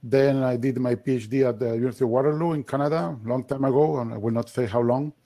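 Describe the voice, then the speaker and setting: Gravelly older man. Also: mid-range voice, fairly even intonation, dark tone. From Chile. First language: Spanish. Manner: measured, with self-deprecating humor. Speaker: data science program director. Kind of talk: panel introduction. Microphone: video call.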